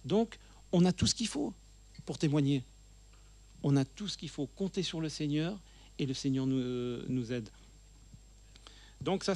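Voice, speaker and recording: A man's voice: a little high, darkish tone, dry recording. Earnest man preaching with a handheld mic. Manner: earnest, emphatic, often repeats phrases for stress. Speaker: guest speaker. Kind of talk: church teaching talk. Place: church hall, seated at a table.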